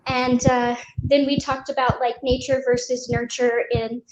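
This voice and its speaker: A woman with a high voice takes part in a casual group discussion. She explains things in an articulate, measured way. Her audio carries a clear hiss of background noise. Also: level tone. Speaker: discussion participant.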